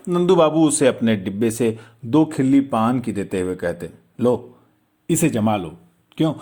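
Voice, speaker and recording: A male audiobook narrator reads a literary story at an unhurried pace. His pitch moves widely from phrase to phrase, and he shapes each line with measured, dramatic pauses. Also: darkish tone.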